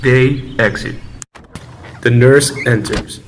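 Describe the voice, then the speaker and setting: A man's voice: mid-range voice, slow pace, background noise. A man reading scripted stage directions as narrator of an amateur radio drama.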